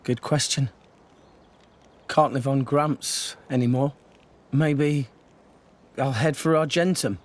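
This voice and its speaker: A man's voice: mid-range voice, takes it slowly, long pauses, natural voice. A man voicing an earnest, self-doubting teenage boy hero in a video game. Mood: defeated.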